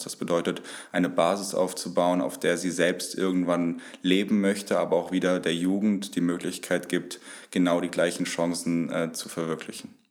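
Very deep, flat young man. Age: 20s. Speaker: student, panelist.

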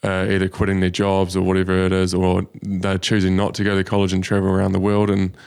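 Gravelly, flat-voiced New man. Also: low voice, quick pace. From New Zealand. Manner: laid-back, storytelling, many ums. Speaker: guest, business founder. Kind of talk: casual interview. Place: studio.

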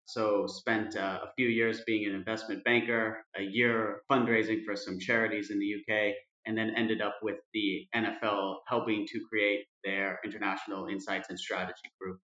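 American man, flat and bright-toned. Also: on the low side. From United States. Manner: measured, explanatory, with frequent "uh" fillers. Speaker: podcast guest. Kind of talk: interview answers. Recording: roomy, dull lo-fi sound.

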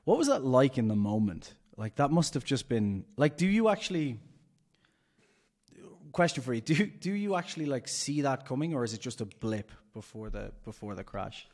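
Brief: male host, mid-pitched, chatty and upbeat. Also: lively intonation, moderate pace, darkish tone. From Ireland. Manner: chatty, upbeat, rambling questions. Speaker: podcast host. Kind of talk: casual interview.

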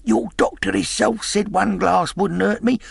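A feeble, pleading old sea captain. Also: male voice, high-pitched, steady tone, a little slow, vocal fry, slightly rough, no echo, studio quality, pitched above the performer's own voice, heavily acted. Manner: pleading, wheedling, sailor slang. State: weak, feeble voice.

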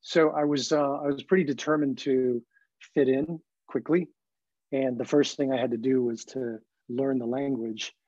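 Man, forties, husky voice, from Hong Kong, English learned as a child, interview guest, reflective storytelling, lo-fi sound. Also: mid-range voice, fairly even intonation, normal speed, darkish tone, no room sound. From Hong Kong, then the California Bay Area from age ten. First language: not English, learned it at about ten. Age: 40s.

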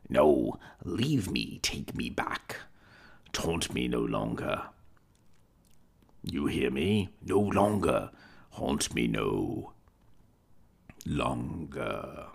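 An actor playing a bitter, anguished old miser who pleads in strained outbursts. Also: male voice, rumbling low, very animated, drawn-out words, creaky, pauses often, slightly rough, full character voice. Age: older.

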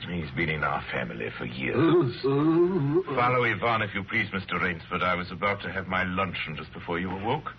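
An actor playing a cultured, deranged general and big-game hunter in an old radio drama, courtly and deliberate, savoring his words. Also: deep voice, sing-song. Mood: gracious. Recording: dull, noisy old-time radio recording.

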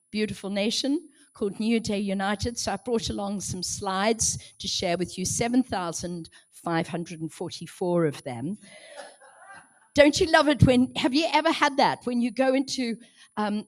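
A woman from South Africa with a hoarse, strained voice, speaking as a guest preacher in church, chatty and self-joking between gentle prayers. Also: mid-range voice. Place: church hall, in front of a congregation.

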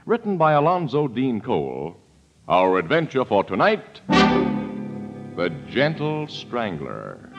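A male radio announcer reads scripted copy with animated, mid-pitched delivery in a 1940s style. Music plays behind him on a muffled vintage broadcast. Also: slow.

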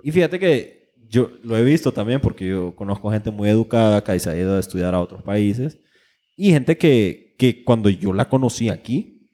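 A man's voice: on the low side, expressive, moderate pace, dark tone. A man with a Honduran accent co-hosting a podcast in casual, slangy talk full of swearing. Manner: slangy, profane. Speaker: podcast co-host. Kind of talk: casual chat.

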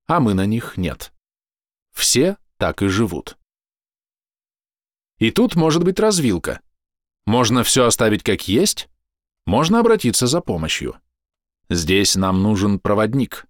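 A man reading a scripted explanatory voice-over in measured, deliberate short phrases, close to the microphone. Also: on the low side, no room sound. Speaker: narrator.

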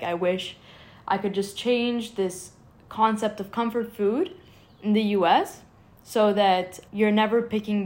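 A young woman from Egypt, a startup founder, answering interview questions in an earnest, eager way with lots of "like" fillers. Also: mid-range voice. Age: young adult.

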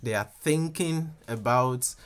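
A man whose first language is Yoruba, speaking English with a Yoruba-influenced accent and explaining things in a measured, conversational teaching way, with frequent restarts and "um"s. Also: medium pitch.

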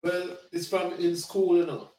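A Jamaican man in his sixties with a somewhat high voice, speaking from a little back from the mic. The room around him rings with echo, and he chats in a laid-back way, dropping patois as he recalls his early days. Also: a little slow, distant mic. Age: 60s. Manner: relaxed, laughing, patois phrases. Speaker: guest reggae singer. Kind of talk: casual interview storytelling.

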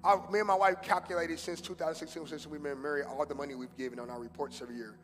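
High-voiced pastor preaching in a reverberant hall. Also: male voice, steady tone, very fast, background noise. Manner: casual street slang mixed with preaching, swings from loud fervor to hushed prayer. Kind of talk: sermon. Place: church sanctuary.

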